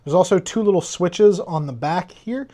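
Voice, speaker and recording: A man's voice: high voice, moderate pace, dark tone. A man giving a casual hands-on gadget review in a conversational, explanatory way. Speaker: tech reviewer.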